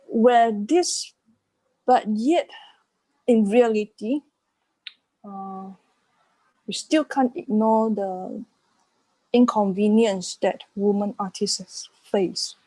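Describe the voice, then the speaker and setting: A woman's voice: mid-range voice, drawn-out words, long pauses, warm tone, dry recording, poor audio. A woman giving an unscripted answer in an art discussion, hesitant and often searching for her words. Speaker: panel speaker on art.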